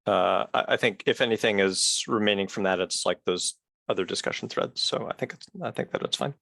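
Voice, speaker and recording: Bright, creaky low-voiced man, tentative. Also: brisk, no room sound, clean studio sound. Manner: tentative, hedging. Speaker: committee member. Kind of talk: casual meeting comment. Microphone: video call.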